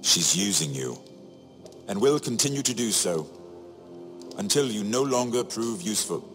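An actor playing a wry, shrewd middle-aged imprisoned nobleman in a video game, sardonic and theatrical, phrasing each remark deliberately. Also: lowish voice, big pitch swings, fairly bright tone. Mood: stern warning. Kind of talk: dramatic video game dialogue. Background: music.

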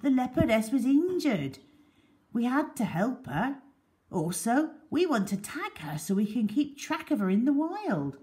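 A woman's voice: a little high, very animated, unhurried, a little mellow, pitched above the performer's own voice. A woman voicing a bearded wildlife rescuer in a storybook, firm but kind. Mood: earnest explaining. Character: gruff bearded man, stern then kindly wildlife rescuer.

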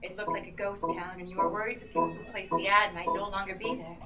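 A young woman playing an anxious mother questioning herself in a halting, agitated live radio-play performance. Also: medium pitch, fairly even intonation, lightly acted. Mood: hesitant, probing. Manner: agitated, halting, swinging from murmurs to outbursts. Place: small bookshop with seated audience, indoors. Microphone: audience member's camera from a few metres. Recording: distant live camera recording, music behind. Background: violin accompaniment.

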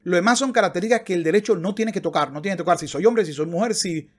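Emphatic man with a higher-pitched voice. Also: fast. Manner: emphatic, argumentative. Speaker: video essayist and commentator. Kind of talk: opinionated commentary with passages read aloud. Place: book-lined room at home, indoors. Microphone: desktop microphone close in front.

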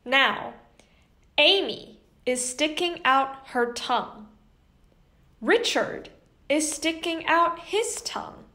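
A young woman reading a children's picture book aloud as host, in an animated, carefully enunciated way. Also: sits high, takes it slowly, long pauses. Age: young adult. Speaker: host and children's story reader. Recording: echoey indoor room.

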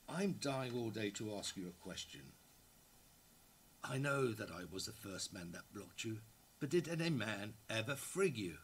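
A man's voice: medium pitch, husky. An actor in his sixties giving a dramatic reading as an ardent, guilt-ridden male writer addressing his lover, in a live room. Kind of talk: dramatic reading of a literary letter. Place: indoor library room, seated before an audience.

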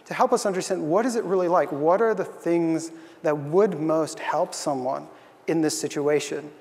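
A man's voice: on the high side, normal speed, vocal fry, a little mellow, in a large hall. A young man giving an earnest, thoughtful conference talk on a stage, with frequent fillers. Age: young adult. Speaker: conference speaker. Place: stage in a large hall.

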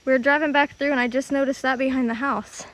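A young woman in her twenties vlogging on a handheld phone as she explores an abandoned house, chatty and excitable. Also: high voice, fast, a little hiss or hum, rough recording. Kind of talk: casual exploring vlog. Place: outdoors and inside an abandoned house. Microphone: handheld phone at arm's length.

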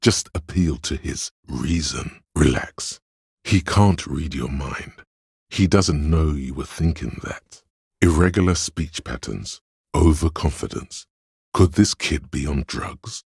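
A man voicing a detective's coolly analytical inner voice of logic in a video game, gravelly and husky, with measured, deliberate delivery. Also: very deep voice, darkish tone, dry recording, clean studio sound, full character voice. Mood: reasoning. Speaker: game voice actor. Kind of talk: scripted video game narration.

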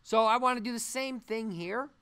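A man giving an unscripted software tutorial, thinking aloud haltingly as he works through each step. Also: very high voice, smooth. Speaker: animation tutorial instructor. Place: voice-over on a screen-recording tutorial.

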